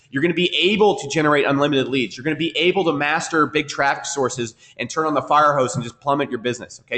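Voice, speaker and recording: Man, webinar trainer, very fast, repetitive, sniffly cold, computer mic. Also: medium pitch, a bit bright. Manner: fast, repetitive, keeps asking "okay?". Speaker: marketing trainer. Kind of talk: live webinar teaching.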